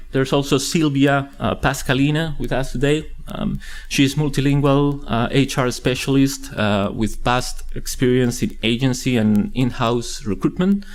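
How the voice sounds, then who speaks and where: Man, event presenter, hesitant, many fillers, mid pitch. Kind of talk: event introduction.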